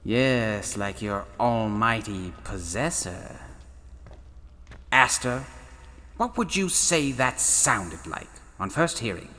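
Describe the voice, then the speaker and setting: In a long, hall-like reverb, an intense scholarly professor played by a man speaks with a bright, forceful edge and lively swings of pitch. He is protective, theatrical and emphatic, a sci-fi audio drama explorer. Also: slow, exaggerated voice. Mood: measured, probing. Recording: long hall reverb, faint music behind.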